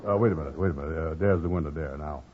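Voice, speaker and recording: An actor playing a gullible, lovelorn, slow-witted man in a golden-age radio sitcom, drawling in folksy nonstandard grammar. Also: a bass voice. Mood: scheming, pleased. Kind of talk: 1940s-50s radio sitcom acting. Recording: muffled old radio recording with hiss.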